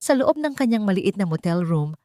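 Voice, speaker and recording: A woman's voice: mid-range voice, very animated, a little fast, warm tone, no echo, studio quality. A woman reading scripted dramatic narration as the storyteller of an emotional fiction tale.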